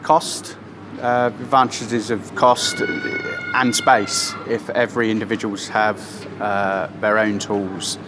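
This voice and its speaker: A man who shares tools at work, answering interview questions in a plain-spoken, halting way. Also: fairly low pitch, background noise.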